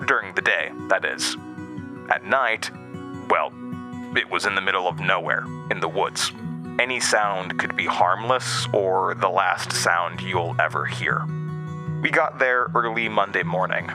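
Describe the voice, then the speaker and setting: A man narrating a scripted first-person horror audio drama as a storyteller, with dramatic pauses. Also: sits low. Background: music, plucked guitar.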